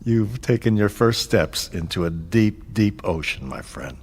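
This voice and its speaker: A commanding male superior in an audio drama, very slow and deliberate, with a husky voice. He sounds amused and softly patronizing, with a sinister calm. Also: lowish voice. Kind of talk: scripted audio drama acting.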